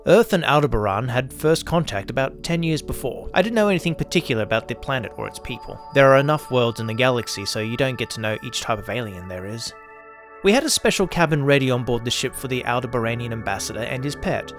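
A man works as host and narrator, reading scripted first-person fiction. His pitch is average for a man, and faint music plays underneath. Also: animated, quick pace.

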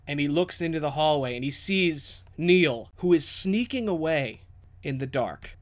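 A man improvising dramatic, descriptive narration as the game master of a tabletop role-playing game. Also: mid-range voice.

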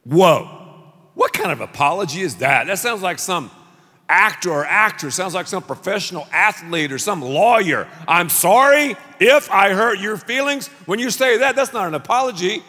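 A middle-aged man preaching a sermon as pastor, telling stories with animated delivery and shouting out acted-out lines. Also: high-pitched, normal speed, big-room sound.

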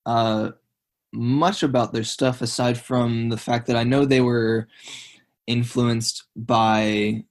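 A man's voice: medium pitch, flat delivery, slow. A man casually discussing music in a conversation, speaking hesitantly with frequent fillers. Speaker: album review co-host.

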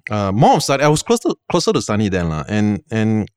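Man guest, smooth resonant voice, dry studio sound, lively anecdotes. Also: lowish voice, fast, no echo. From Thailand. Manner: animated storytelling, acts out quoted people, many restarts. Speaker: guest film director. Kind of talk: casual podcast chat.

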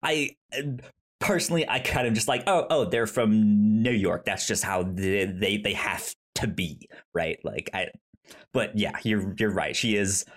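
A man's voice: fairly low pitch, measured pace. A man with a pronounced stutter co-hosting a film podcast, chatting casually in an enthusiastic, rambling way.

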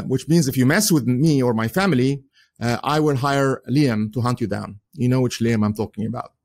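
Man, arabic first language, solo commentary, earnest, chuckling, fillers and restarts, medium pitch.

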